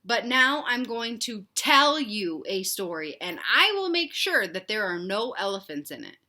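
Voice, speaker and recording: A woman's voice: medium pitch, very animated, measured pace, sharp tone, exaggerated voice. A woman voicing a weary, exasperated but patient father chicken in a children's picture book read-aloud, speaking emphatically. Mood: determined.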